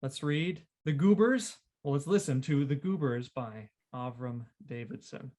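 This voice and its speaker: A man's voice: mid-range voice, unhurried, a little mellow. A man talking casually on a podcast in an encouraging, agreeable way.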